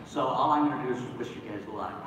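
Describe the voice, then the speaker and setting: Male panel host, informal and animated, distant miking in a very reverberant hall. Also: medium pitch, rapid-fire, very noisy. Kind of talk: casual stage presenting. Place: stage in large convention hall, distant audience phone. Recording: echoey hall from far off, loud crowd. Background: loud audience.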